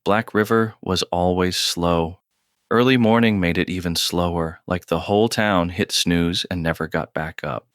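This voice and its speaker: Low, even male narrator, very clear. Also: dry recording, clean studio sound. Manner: measured, terse storytelling. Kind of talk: scripted audio drama narration.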